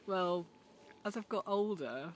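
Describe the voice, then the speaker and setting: A woman's voice: lowish voice, animated, takes it slowly, a little hiss or hum. A middle-aged woman with a Yorkshire accent, chatting casually and reflectively to camera outdoors in a forest garden, musing aloud with loose, rambling hesitations. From Yorkshire, England. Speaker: vlogger. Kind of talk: casual unscripted talk to camera.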